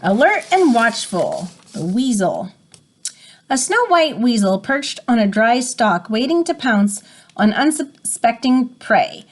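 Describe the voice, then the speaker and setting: A woman's voice: a little high, big pitch swings, slow. A woman reading an educational picture book aloud as a teacher, explaining and prompting listeners as she goes. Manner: explanatory, stops to define words and prompt listeners.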